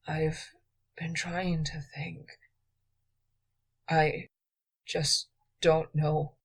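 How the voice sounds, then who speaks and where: A woman voicing an exhausted, wounded young male thief who speaks in a faint, slow whisper. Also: deep voice, level tone, gravelly, breathy edge, full character voice. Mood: weary whisper.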